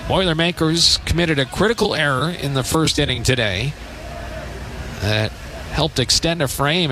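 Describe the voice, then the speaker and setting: A man doing live radio baseball play-by-play, unhurried between pitches and lifting his delivery when there is action. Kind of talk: live radio sports commentary. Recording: clearly noisy outdoor broadcast ambience.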